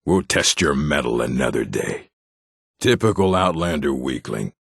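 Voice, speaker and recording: Deep, slow, proud orc warrior. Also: male voice, animated, a bit bright, no echo, studio quality, heavily acted. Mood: stern, commanding. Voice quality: gravelly, husky. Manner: blunt, solemn, commanding. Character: stern, proud orc stronghold warrior, honor-bound and threatening. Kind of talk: video game character voice acting.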